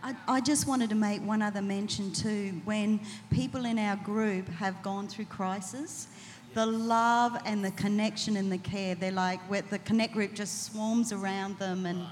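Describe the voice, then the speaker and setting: A woman's voice: mid-range voice, steady tone, unhurried, a little hiss or hum. A woman adds a thought earnestly during a casual church panel chat. Her voice trails off into a long hall reverb.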